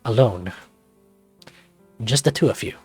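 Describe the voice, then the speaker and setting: A man's voice: mid-range voice, measured pace, a little mellow, slightly rough, faint music behind. A man voicing a chatty game writer who playfully chides and addresses the player in visual novel notes. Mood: teasing. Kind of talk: visual novel developer notes read aloud.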